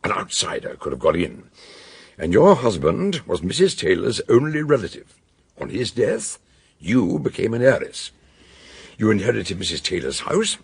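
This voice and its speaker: Older eccentric british detective, gravelly n husky, voice swoops about, radio mystery style. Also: male voice, on the low side, exaggerated voice. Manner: theatrical exclamations, deliberate questioning. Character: eccentric, blunt, aging male amateur detective, ponderous and probing.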